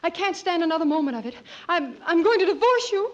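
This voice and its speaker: A woman's voice: extremely high pitch, lively intonation, quick pace. A woman playing a fed-up, melodramatic wife in a 1940s radio comedy, with a dramatic, fretful manner. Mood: exasperated. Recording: muffled old radio recording.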